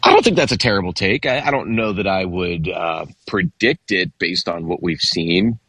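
A man analyzing football on a sports talk show, measured and thinking aloud as he weighs each point. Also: sits low, expressive, a bit bright, breathy edge, no room sound.

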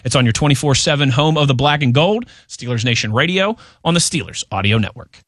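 A man's voice: medium pitch, animated, brisk, a bit bright, no room sound, studio quality. A man hosting a sports radio show, chatting casually and laughing easily.

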